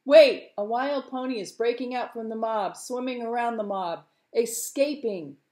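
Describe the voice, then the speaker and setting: A woman voicing an excited, rustic fisherman lookout who bawls urgent reports in a hoarse, raspy shout. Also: mid-range voice, measured pace, natural voice. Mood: alarmed.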